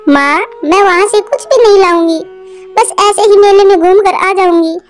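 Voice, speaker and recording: Actress as dutiful cartoon bird child, girlish, extremely high voice, sweet, faint music behind. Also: normal speed. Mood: sweet, eager. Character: sweet, thoughtful, dutiful young girl bird.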